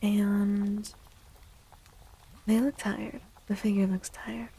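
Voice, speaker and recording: A woman speaking softly and breathily in a gentle, soothing ASMR livestream style, often slipping toward a whisper as she hosts and reads tarot. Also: medium pitch, little pitch movement, drawn-out words, breathy edge. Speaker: livestream host and tarot reader. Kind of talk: soft-spoken ASMR livestream, chatting and reading tarot.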